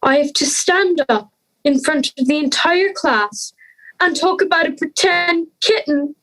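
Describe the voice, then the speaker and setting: A woman's voice: high-pitched, measured pace, a bit bright, poor audio. A young woman playing an earnest, anxious schoolgirl in an audio drama.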